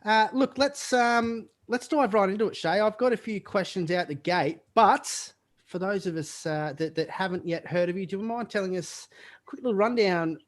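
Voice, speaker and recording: A man's voice: sits high, moderate pace. A man hosting a live podcast over a video call, upbeat and easygoing, with fillers and restarts. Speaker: podcast host.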